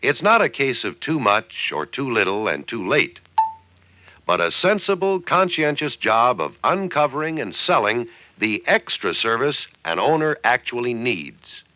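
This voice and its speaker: An American man narrates in the style of an early 1960s sales training film. His pitch swings widely as he makes each point, and the tone is bright and forward. He sounds conversational yet persuasive, leaning on key phrases, in a dry recording with little room sound. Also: sing-song, unhurried, dry recording.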